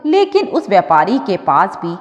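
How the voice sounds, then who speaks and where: A woman's voice: medium pitch, sing-song, normal speed, voice pushed down, lightly acted. A woman storyteller voicing a humble, plaintive poor village girl in a devotional tale. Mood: sorrowful. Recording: dull audio with long reverb.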